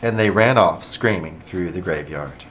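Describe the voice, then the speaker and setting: A middle-aged man, a school administrator, reading a picture book aloud to children in an animated, expressive way. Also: sits low, normal speed, background noise.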